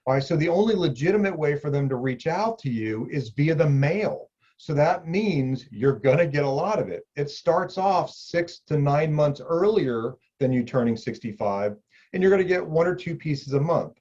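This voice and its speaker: A man's voice: medium pitch, darkish tone, lo-fi sound. A middle-aged man, an insurance agent, explaining benefits to the viewer in a conversational way, repeating key points. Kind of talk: explainer video talk.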